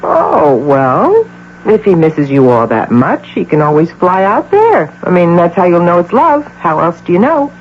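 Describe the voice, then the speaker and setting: An actress playing a poised, guarded middle-aged advertising executive in a radio drama, composed and arch, with an American accent. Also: female voice, fairly low pitch, very animated, unhurried, a little mellow. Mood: fond, motherly. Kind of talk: old-time radio drama acting. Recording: dull hissy radio drama recording with hum.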